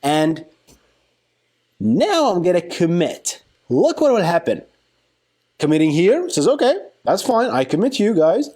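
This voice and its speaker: A man giving an informal software tutorial, talking through a live demo in a playful, colloquial way and thinking aloud. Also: sits high, sing-song, unhurried. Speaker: software engineering instructor.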